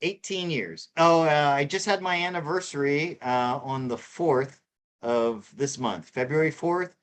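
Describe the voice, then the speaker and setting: A middle-aged man co-hosting a live tarot show in casual chat, halting and repeating himself with many fillers. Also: fairly high pitch. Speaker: co-host reading tarot cards.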